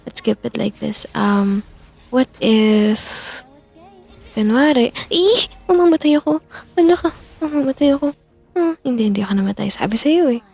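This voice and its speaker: A woman's voice: a little high, expressive, moderate pace, vocal fry, a little mellow, faint music behind. A woman playing a teasing, giggly best friend. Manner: teasing, giggly, flustered backtracking. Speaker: ASMR roleplay performer. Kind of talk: audio roleplay, chatting while gaming.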